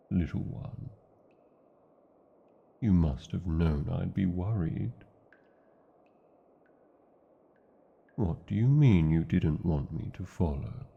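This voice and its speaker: A man's voice: low voice, gravelly, slightly rough, narrow, tinny audio, full character voice. A man with a British accent voicing a huge, proud, protective dragon lover in a fantasy ASMR roleplay, speaking in formal, theatrical phrases with tender endearments and wry disdain. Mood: relieved, impressed.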